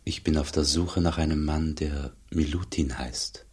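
An actor playing a humble, troubled wandering monk in a radio drama, earnest, grave and restrained. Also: male voice, a bass voice, steady tone, a little slow, a little mellow, big-room sound. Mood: humble, searching.

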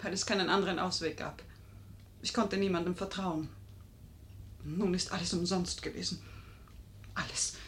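A woman's voice: fairly low pitch, steady tone, normal speed, pauses often, a bit bright, slight background noise. An actress playing an elegant, cunning lady who feigns helpless fear, in a theatrical 1950s German radio play style. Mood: impassioned pleading. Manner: pleading, theatrical.

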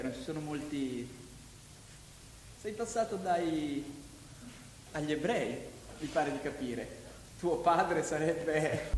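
An actor playing a sardonic young man in a stage drama, wry and teasing, with deliberate pauses. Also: on the high side. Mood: needling, wistful. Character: sardonic, needling man, old friend from Paraguay. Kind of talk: live stage drama reading. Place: theatre stage, far from the mic. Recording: very echoey theatre, distant microphone, noise.

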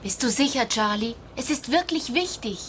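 A woman's voice: a little high, expressive, moderate pace, fairly bright tone, a little hiss or hum. An actress playing an earnest, anxious young girl in a German radio drama. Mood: anxious, urgent.

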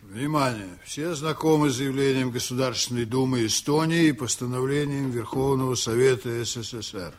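An actor playing a stern, composed ship's captain in a Soviet radio play, speaking formally and deliberately with command. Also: male voice, medium pitch, natural voice. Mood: loud, official.

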